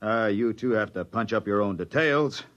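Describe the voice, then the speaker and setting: An actor playing a bitter, fatalistic spaceship engineer with a sardonic, brooding delivery in an old radio drama. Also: male voice, on the low side, moderate pace, fairly bright tone, lo-fi sound, natural voice. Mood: mocking. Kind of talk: mid-century radio drama acting. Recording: noisy crackly old radio recording.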